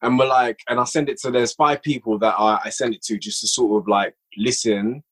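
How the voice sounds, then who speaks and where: A man's voice: medium pitch, steady tone, brisk, husky. A man, a guest writer and producer of audio drama, chatting at length on a podcast and explaining his work with frequent restarts. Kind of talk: casual podcast conversation.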